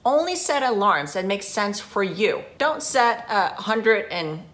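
A woman in her forties from Denmark, a guest talking casually to camera in her living room, friendly and animated. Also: mid-range voice. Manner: friendly, chatty, animated with hand gestures. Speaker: guest, founder of a website.

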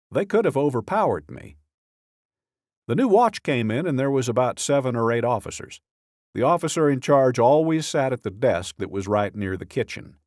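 A man reading a scripted true-crime audiobook in a measured, dramatic storytelling way, close to a studio microphone. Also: medium pitch, a little mellow, no echo. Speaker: audiobook narrator.

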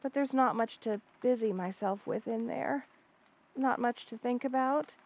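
A woman's voice: a little high, a little slow, darkish tone. A woman voicing an obsessive, lovestruck rattlesnake lamia in an intimate audio roleplay, gushing and hesitant with coy stammers. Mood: nervous, apologetic. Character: obsessive lovestruck female rattlesnake lamia, shy yet unsettling.

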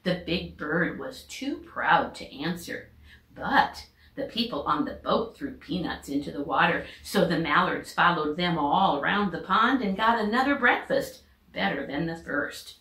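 Slow, animated American woman reading aloud. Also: low voice. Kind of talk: expressive children's storybook narration. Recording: echoey home room recording.

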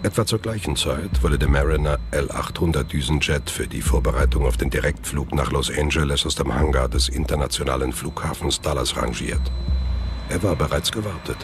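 A man's voice: very deep voice, a little fast, noisy background. A man giving scripted narration for a thriller audio drama. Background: music.

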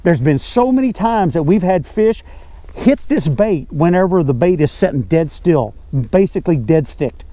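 A man's voice: fairly high pitch, lively intonation, moderate pace, dark tone, no echo. An older man with a Southern US accent giving an informal, folksy fishing lesson as a guide, repeating key words for emphasis. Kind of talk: informal instructional talk. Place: outdoors on a bass boat on a lake.

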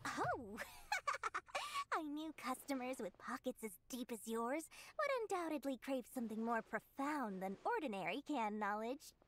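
A woman's voice: sits high, big pitch swings, a little slow. Sly tiny shopkeeper girl for a video game, swoopy theatrical sales talk, noisy background. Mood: smug, coy. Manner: ingratiating, flowery salesperson talk. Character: small sly greedy girlish merchant, sweet-talking and theatrical.

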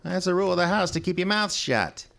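An actor playing a mouthy, evasive young elevator operator with slangy speech in a radio drama. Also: male voice, sits high, expressive, quick pace, vocal fry, fairly bright tone, full character voice. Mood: defensive, cheeky. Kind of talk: old-time radio drama acting.

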